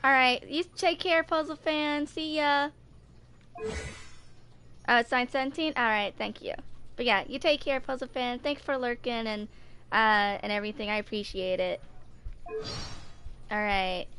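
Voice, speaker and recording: A woman's voice: a little high, a bit bright, slight background noise. A woman in her twenties streaming casually and reading game lore aloud, informal, stumbling over some words. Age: 20s. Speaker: gaming streamer.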